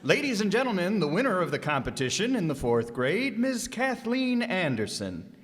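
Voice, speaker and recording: A man's voice: high-pitched, moderate pace. A wry, fussy middle-aged father, played by a man in a staged radio comedy. His voice swings up and down as he teases and grumbles. A long hall reverb trails after him. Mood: teasing. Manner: dry, teasing, lively delivery. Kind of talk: amateur old-time radio play performance.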